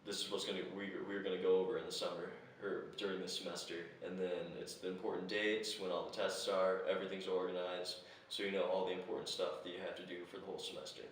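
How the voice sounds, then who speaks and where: A young American man with an American accent, relaxed and quick to laugh in an interview. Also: low-pitched. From United States. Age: young adult. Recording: very echoey, distant, faint noise.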